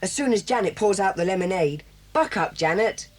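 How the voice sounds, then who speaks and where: A woman voicing a bossy young schoolboy who leads a children's club in an 1980s audio drama, lively and brisk. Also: mid-range voice, very noisy, close to an everyday voice. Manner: brisk, bossy, takes charge.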